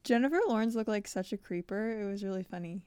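A woman co-hosting a casual fan podcast, relaxed and a little hesitant, giggling now and then. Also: mid-range voice, quick pace, clear, resonant tone, dry recording, clean studio sound. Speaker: podcast co-host.

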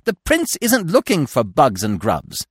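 A man voicing a chatty, fussy, excitable squirrel in a storybook, gushing and emphatic. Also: fairly high pitch, moderate pace, dry recording, clean studio sound, voice pushed up, full character voice. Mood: scolding.

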